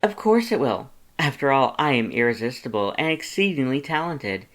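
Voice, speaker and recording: A man's voice: medium pitch, very animated, moderate pace, fairly bright tone, pitched above the performer's own voice. A man voicing a vain, haughty male skeleton lord with pompous, declamatory, theatrical speech. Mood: boastful, haughty. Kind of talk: theatrical storybook character acting.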